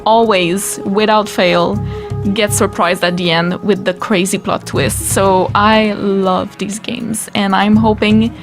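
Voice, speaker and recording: A young woman with a Quebec French accent, chatty and excited, introducing her pre-recorded let's-play of a video game. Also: medium pitch, takes it slowly. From Quebec, Canada. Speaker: gaming YouTuber. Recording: game music playing behind.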